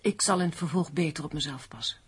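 An actress in a radio play playing a determined, unsentimental amateur sleuth, matter-of-fact and insistent. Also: female voice, low-pitched, fast, close to an everyday voice. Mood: subdued.

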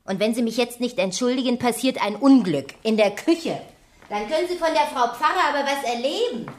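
A woman's voice: fairly high pitch, expressive, fairly quick, close to an everyday voice. A woman playing a cheeky young housemaid in a radio play, snappy and indignant, unimpressed by authority. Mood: exasperated. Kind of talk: German radio play acting.

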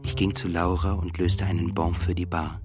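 An actor playing a reflective, melancholy composer who tells his story in a measured literary monologue. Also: low voice, little pitch movement, lightly acted. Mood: pensive. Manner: measured, introspective. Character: reflective, melancholy male composer, first-person narrator. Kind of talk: literary radio drama monologue. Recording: clear music bed behind the voice.

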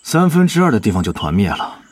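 An actor playing a serious, exasperated young online guild leader in an audio drama. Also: male voice, lowish voice, sing-song, quick pace, slight background noise. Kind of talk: Chinese audio drama acting.

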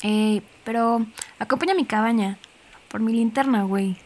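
A woman's voice: fairly high pitch, normal speed, darkish tone, a little hiss or hum, natural voice. A woman playing a scared, teasing teenage boy with a Mexican accent in an audio drama, talking in crude, casual slang.

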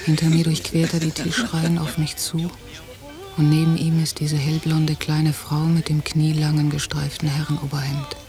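An actress playing an anxious, jealous, watchful woman in a German radio drama, in a quiet, introspective, measured delivery. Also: sits low. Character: anxious, jealous young-to-middle-aged woman on holiday, brooding, watchful. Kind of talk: German radio drama, intimate interior monologue and dialogue. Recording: 1990 radio drama from cassette, music behind.

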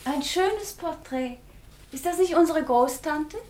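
A woman's voice: high-pitched, slow, darkish tone. An actress playing a demure, reserved and pious young noblewoman, speaking formally in a radio play. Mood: pious, admiring. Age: young adult. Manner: formal, aloof. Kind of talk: German radio play of a classic stage comedy.

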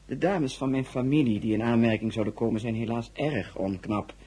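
Actor playing a husky, courtly flattering monarch. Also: male voice, medium pitch, close to an everyday voice. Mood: gallant, courtly. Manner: formal, gallant, drawn-out phrasing. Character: courtly male monarch, flattering and faintly suggestive. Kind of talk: audio drama acting.

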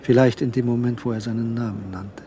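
A man narrating a radio drama in a measured, suspenseful, scripted delivery. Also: mid-range voice, steady tone, warm tone.

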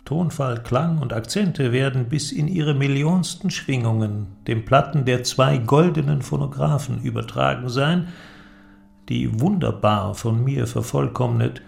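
An actor playing an eloquent, persuasive inventor in a radio drama, with a declamatory, deliberate delivery and ornate phrasing. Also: male voice, mid-range voice, creaky, darkish tone. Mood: lecturing, enthusiastic. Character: eloquent visionary male inventor, grandiose and persuasive. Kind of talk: German literary radio drama acting.

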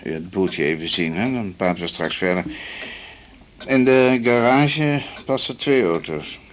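A man's voice: low voice, expressive, a little slow, slight background noise. A man playing a smug, conservative, patronizing older doctor in a radio drama, pompous and full of hesitant ehs. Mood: self-satisfied. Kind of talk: 1980s Dutch radio drama acting.

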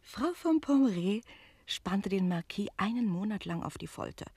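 An actress in a German radio play voicing a lively innkeeper woman who tells a tale with articulate, expressive delivery. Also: medium pitch, moderate pace, husky, no room sound. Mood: dramatic relish.

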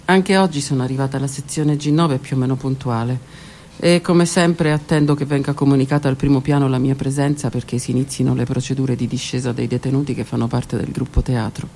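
A woman's voice: extremely low pitch, steady tone, fairly quick, mellow, a little hiss or hum. A woman performing an introspective theatrical monologue for radio, delivered dramatically and at times dropping to a whisper. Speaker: stage performer. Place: stage or studio performance.

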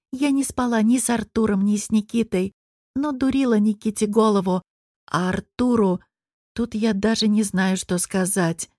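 A woman narrates a novel in the first person with expressive delivery. Her voice sits at an average pitch for a woman. The sound is dry, with hardly any room to it. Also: no echo. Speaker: audiobook narrator.

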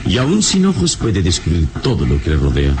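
Wise heroic adventurer, very low voice, expressive, measured, didactic, calm, vintage radio drama, noisy recording. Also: male voice, exaggerated voice. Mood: serene, philosophical. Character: wise, calm, noble adventurer hero with heightened senses. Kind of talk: old Latin American radio serial acting.

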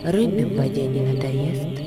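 A man's voice: medium pitch, lively intonation, moderate pace, over a noisy crowd, lightly acted. A man playing the questioning, reflective inner voice of a hermit monk in a literary radio drama. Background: music.

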